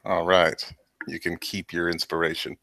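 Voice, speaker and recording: A man with a low voice and a bright, forceful tone narrates a tabletop game as its game master. He lays out the terrain and objects steadily and plainly. The sound is close and dry, with no room around it. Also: level tone, no room sound. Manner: plain, measured scene description. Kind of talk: describing scenes in a tabletop game.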